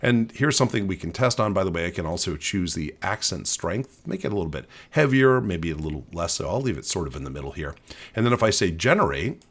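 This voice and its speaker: Male tech presenter, fairly low voice with animated inflection, talking casually through an on-screen demo. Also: fast, breathy edge. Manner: conversational, explanatory. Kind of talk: casual screen-share walkthrough.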